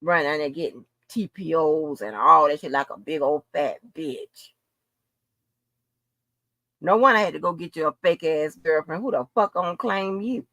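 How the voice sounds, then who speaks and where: Woman ranting on a live, sharp crackly voice, swears loads, super blunt and confrontational. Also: deep voice, moderate pace, no room sound. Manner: emphatic, repeats phrases for effect. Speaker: livestream host. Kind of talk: unscripted livestream rant.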